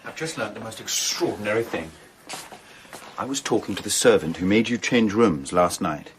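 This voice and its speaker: An actor playing a forceful, protective Victorian gentleman in a radio play, urgent and commanding. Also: fairly low pitch, normal speed, background noise, natural voice. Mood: grim, urgent.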